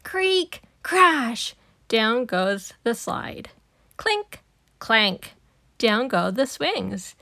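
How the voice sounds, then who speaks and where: High-pitched woman reading a children's storybook. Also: very slow, gravelly, no room sound, studio quality. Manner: animated, emphatic on sound-effect words. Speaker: storybook reader. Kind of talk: expressive read-aloud narration for young children.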